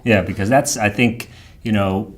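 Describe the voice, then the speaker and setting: A man hosting a casual interview, feeling his way through loosely phrased questions in a halting manner. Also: lowish voice, fairly even intonation, slight background noise. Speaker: talk show host, interviewer.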